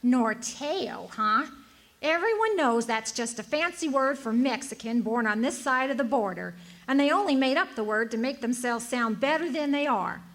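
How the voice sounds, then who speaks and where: A woman's voice: high-pitched, lively intonation, normal speed, fairly bright tone. A woman acting a self-righteous, indignant frontier townswoman in a live radio drama, haranguing with haughty scorn. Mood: contemptuous. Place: hotel event room, live performance. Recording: live stage recording, faint noise.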